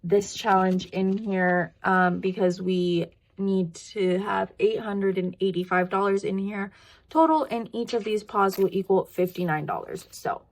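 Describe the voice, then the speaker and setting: A woman vlogger talking casually and thinking aloud as she works, her voice croaky and tired from long talking. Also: mid-range voice, measured pace, rough recording. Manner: rambling, thinking aloud, counting to herself. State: voice tiring after long filming. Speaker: budgeting vlogger. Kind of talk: casual chatty hands-on narration.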